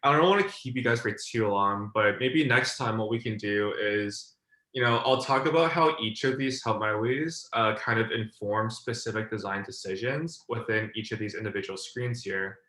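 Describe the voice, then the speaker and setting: Man, young adult, design mentor, casual teaching, filler-heavy, lowish pitch, roomy echo. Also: normal speed, bright, forceful tone. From China. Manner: loose and talky, filler words, "like" often, checks "does that make sense". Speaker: design mentor hosting a group session.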